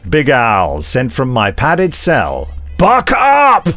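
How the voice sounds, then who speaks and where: A man's voice: mid-range voice, huge pitch swings, takes it slowly, sharp tone, no room sound. A man co-hosting a podcast, reading listener emails with dry, wry humor. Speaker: podcast co-host.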